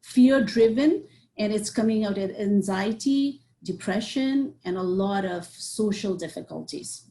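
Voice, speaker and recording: Earnest woman, mellow voice. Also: medium pitch, measured pace, a little hiss or hum. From Nepal. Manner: earnest, listing points with frequent "you know". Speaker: psychiatrist guest. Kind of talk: interview answers.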